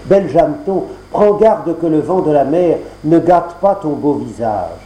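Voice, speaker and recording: A man's voice: a little high, lively intonation, a little slow, warm tone. A man voicing a solemn elder brother giving a sing-song warning, in an echoey room.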